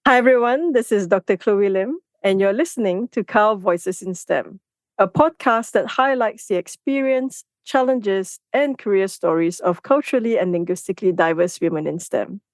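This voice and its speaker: A woman's voice: medium pitch, normal speed, no echo. Woman hosting a podcast, dry close sound. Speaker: podcast host. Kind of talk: podcast interview.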